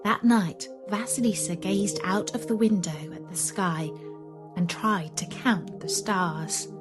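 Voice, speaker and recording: A woman narrating a scary children's story in a deliberate, dramatic, expressive way. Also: mid-range voice, over loud music.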